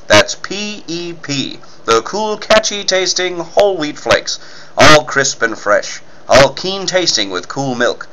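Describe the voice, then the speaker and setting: A man's voice: on the high side, big pitch swings, slow pace, highs cut off. A man reading scripted narration and ad copy as an emphatic, punchy 1940s-style radio announcer. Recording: faint background noise.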